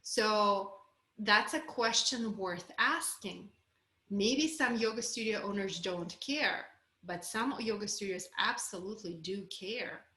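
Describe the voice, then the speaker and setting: A woman's voice: medium pitch, slow, pushed and bright, roomy sound. A woman with a Russian accent coaching a group on a video call, candid and encouraging. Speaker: course coach.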